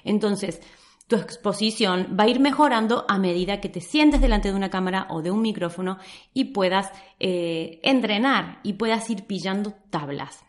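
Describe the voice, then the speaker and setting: A woman with a Córdoba Argentine accent speaks straight to camera. She talks in an animated, direct, encouraging way, with a mid-range voice. A few Spain Spanish words slip into her speech. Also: mid-range voice, moderate pace. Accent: Córdoba Argentine Spanish with some Spain Spanish words. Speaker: social media coach. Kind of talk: direct-to-camera advice vlog.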